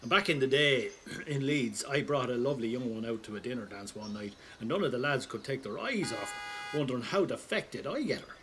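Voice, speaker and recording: An actor playing an older Irish pensioner, roguish and callous, telling a rambling, sweary, wry anecdote in a casual dramatic monologue. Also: male voice, medium pitch, fairly quick, a bit bright, a little hiss or hum, lightly acted. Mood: wry, amused. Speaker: storyteller.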